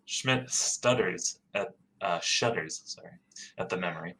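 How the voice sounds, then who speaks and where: A man's voice: deep voice, unhurried, pushed and bright, slight background noise, low-quality recording. A man narrating stage directions in a plain, matter-of-fact way.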